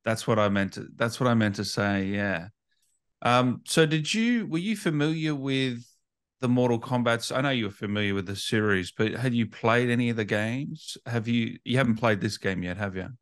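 A man's voice: mid-range voice, normal speed, smooth, no echo, studio quality. A man hosting a casual interview, asking questions in an informal, slightly tentative way. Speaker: interviewer, gaming channel host.

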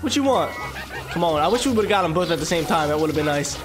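A congested young man with a stuffy nose giving energetic, slangy let's-play commentary into a headset, with sudden squeaky exclamations. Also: fast. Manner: energetic, slangy, jumps to high-pitched exclamations. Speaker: gaming YouTuber. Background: game music.

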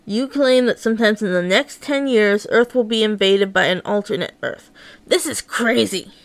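A woman's voice: mid-range voice, normal speed, clean tone, dry recording. A woman narrating a dramatised comic read-along, acting out every character and sound effect herself. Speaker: narrator reading a comic aloud.

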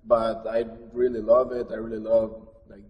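Low flat-voiced young man from Honduras in a big chapel. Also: moderate pace, dull audio. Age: young adult. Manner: earnest, grateful, with fillers. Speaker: graduate student. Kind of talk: unscripted personal remarks. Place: large chapel with stone walls, indoors. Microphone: camera mic from across the room. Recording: hall reverb, distant mic, faint room hiss.

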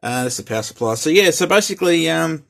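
A middle-aged man with an Australian accent and a husky voice, talking casually and a little hesitantly as he hosts a hobby vlog. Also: fairly high pitch, animated, fast talker, a bit bright. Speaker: host and radio hobbyist.

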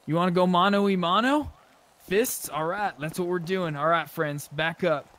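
High-voiced man bantering in an online game. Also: normal speed, fairly bright tone. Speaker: player in online roleplay game. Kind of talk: casual in-game roleplay chat.

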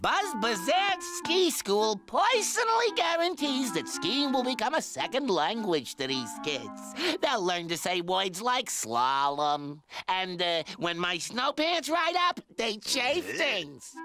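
An actress playing a small, eager, put-upon cartoon bird sidekick with a whiny, pattering manner. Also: female voice, mid-range voice, big pitch swings, takes it slowly, few pauses, over music. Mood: eager sales pitch.

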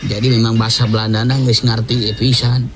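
A man's voice: mid-range voice, little pitch movement, fairly quick. Sundanese man storytelling over music. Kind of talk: dramatic oral folktale narration. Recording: music under the voice.

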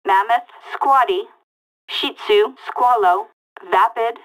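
A woman's voice: on the high side, slow pace, poor audio. An actress playing a female police dispatcher in a video game, reading out short callouts in a clipped, detached way through a police radio filter. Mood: flat, procedural. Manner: clipped, detached, word-by-word delivery. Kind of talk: reading out vehicle makes and models as scanner callouts.

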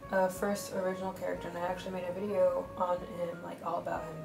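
A woman talks casually and bluntly over her drawing, her delivery flat and monotonous and full of fillers. Her voice sits a little low. It is recorded in a roomy space, with music playing underneath. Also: fast talker, creaky. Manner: flat, monotonous speech pattern; blunt and rambling, many fillers. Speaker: art vlogger.

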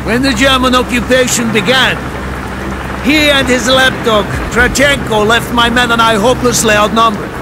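High-pitched, proud Russian captain. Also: male voice, fairly even intonation, moderate pace, noisy background. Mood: bitter. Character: proud, defiant Russian army captain at war. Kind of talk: dramatic video game acting.

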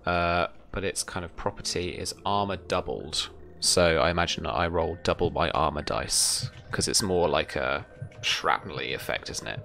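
A young man runs a tabletop roleplaying session. His voice sits very deep, with a crisp, forceful edge and plenty of fry. Music plays behind him. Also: moderate pace, slight background noise. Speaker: game master. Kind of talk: running a tabletop roleplaying game. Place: indoor room at home, video call. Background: background music.

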